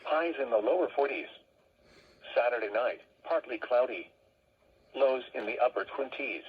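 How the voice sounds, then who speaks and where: A synthetic male announcer reading a scripted weather bulletin in a measured, impersonal way, heard through a radio speaker. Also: mid-range voice, lively intonation, slightly rough. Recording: dull lo-fi weather radio playback.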